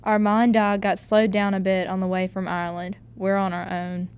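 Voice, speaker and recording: A woman's voice: mid-range voice, level tone, moderate pace, darkish tone, smooth, close to an everyday voice. A woman playing a plucky fourteen-year-old Irish servant girl in a radio drama, earnest, deferential and folksy. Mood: earnest pleading.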